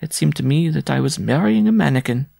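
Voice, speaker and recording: A man's voice: on the high side, expressive, a little fast, vocal fry, warm tone, no room sound, studio quality, voice pushed up, full character voice. A man voicing a gaunt, worn-out peasant woman from a story, pleading and bitter in a plaintive lament. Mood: rueful.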